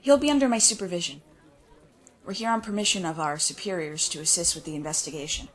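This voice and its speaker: A woman's voice: lowish voice, fast, close to an everyday voice, poor audio. A woman playing a commanding, assured female federal agent who leads her team in an audio drama. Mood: businesslike. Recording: music bed under the voice.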